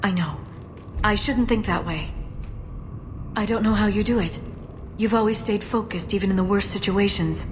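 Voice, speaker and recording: A woman playing an earnest, soft-spoken alien scientist in a video game, measured and precise but full of self-doubt. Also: medium pitch, a little hiss or hum, lightly acted. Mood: troubled, doubting. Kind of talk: dramatic video game voice acting.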